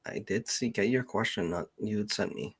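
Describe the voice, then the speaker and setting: A man hosting an unscripted livestream Q&A, speaking haltingly as he thinks aloud. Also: on the low side, lively intonation, gravelly, slightly rough. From Canada. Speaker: genealogist hosting a live Q&A.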